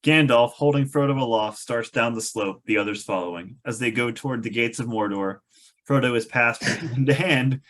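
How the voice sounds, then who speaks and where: A man's voice: mid-range voice, normal speed, a bit bright. A man narrating a table read of a screenplay, reading stage directions with wry, amused asides.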